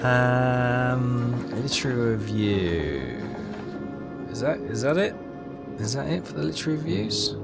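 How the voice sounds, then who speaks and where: A man's voice: medium pitch, lively intonation, a little slow, gravelly. A man doing casual game commentary, hesitantly thinking aloud and reading text from the screen. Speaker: game walkthrough player. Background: loud game music.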